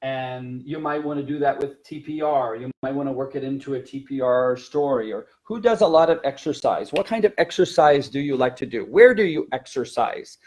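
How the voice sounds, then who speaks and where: A man's voice: mid-range voice, animated, normal speed, hardly any pauses, darkish tone. A man who teaches languages, talking conversationally as he explains classroom technique in a webinar, repeating words for emphasis.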